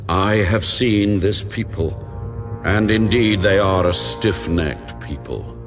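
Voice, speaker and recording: A mighty, wrathful God in an audio Bible drama. The voice is very low and slow, solemn and full of command. Faint music sits behind the declarations. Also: male voice.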